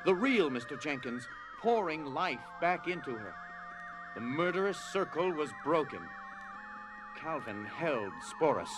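A man narrating a scripted drama as storyteller, with grave, deliberate phrasing. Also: fairly high pitch.

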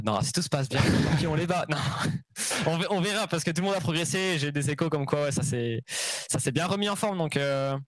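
A man's voice: mid-range voice, no echo. A man, an esports player, giving a casual, upbeat post-match interview full of slang.

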